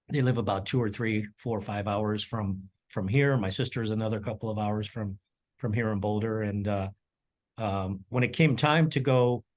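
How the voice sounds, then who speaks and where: This is a middle-aged man, a chef, talking through his past in a loose, unhurried way, stumbling over repeated words and filling pauses with ums. His voice sits on the low side. German was his first language, though he grew up in America. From United States (Colorado). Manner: rambling, warm storytelling with frequent ums and repeated words. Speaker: chef and culinary educator, interview guest. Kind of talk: casual interview storytelling.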